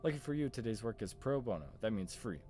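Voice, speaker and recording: A man's voice: mid-range voice, lively intonation, fast, mellow, a little hiss or hum, natural voice. A man reading the lines of a tough, curt, grumbling former detective from a visual novel. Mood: wry. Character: gruff tough ex-detective, proud, secretly afraid of dogs.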